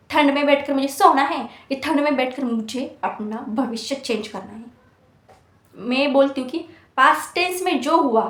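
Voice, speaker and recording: A woman's voice: high-pitched, reverberant. A woman of twenty-one giving an earnest motivational talk to exam students, scolding them with rhetorical questions. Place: hostel room.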